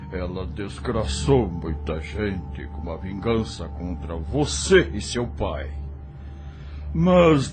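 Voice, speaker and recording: A man playing a steady, protective ally of fugitives in an audio drama, grave and reassuring. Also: fairly low pitch.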